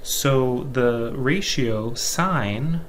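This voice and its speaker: A man's voice: mid-range voice, very slow, slight background noise. A man giving an informal explanatory lesson as an instructor, going step by step and often checking in with "okay".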